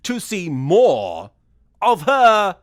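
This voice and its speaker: A man delivering scripted comedic recap commentary as host, sarcastic and deadpan. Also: sits high, very slow. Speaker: host of a satirical wrestling recap.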